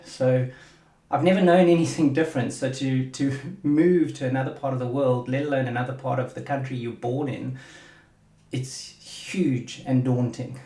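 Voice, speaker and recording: South African man in his thirties, hosting a solo podcast, loose rambling delivery with restarts, echoey room. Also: fairly high pitch. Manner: rambling, repeats words while searching for them. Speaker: podcast host. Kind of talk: casual solo podcast talk.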